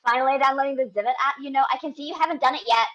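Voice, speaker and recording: A woman's voice: high-pitched, racing, fairly bright tone. A woman playing a blunt, bossy young best friend in a screenplay table read, brash and pushy, on dull lo-fi audio.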